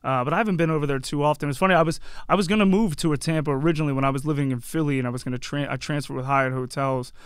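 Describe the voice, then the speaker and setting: A man's voice: on the high side, very fast, few pauses, smooth, no room sound, clean studio sound. A man around his forties, chatting casually and telling anecdotes as a podcast guest. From Yardley, Pennsylvania and New Jersey, USA. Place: small curtained podcast studio. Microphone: close broadcast mic on boom arm.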